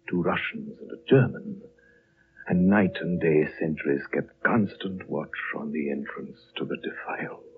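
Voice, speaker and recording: A very deep, hushed old holy man speaks with grave serenity. His phrases come very slowly and formally, frail yet all-knowing. It is a muffled, noisy old radio drama recording. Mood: solemn narration. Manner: slow, solemn, formal, courtly phrasing. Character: ancient, serene, all-knowing male High Lama of a hidden monastery. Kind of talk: 1930s radio drama acting.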